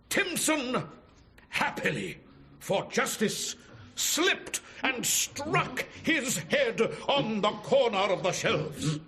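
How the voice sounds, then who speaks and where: Earnest prosecuting barrister, bright animated voice, pompous, theatrical, unhurried, radio drama. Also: male voice, very high-pitched, full character voice. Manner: pompous, deliberate, theatrical phrasing.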